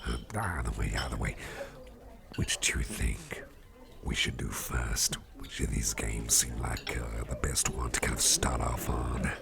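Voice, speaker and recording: A man playing a friendly, rambling boss in an ASMR roleplay, chatting personally to the listener in a deep, gravelly voice. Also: heavily acted. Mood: chummy. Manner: rambling, chummy, halting, repeats phrases. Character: friendly, rambling male boss at a Halloween party. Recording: music playing behind the voice.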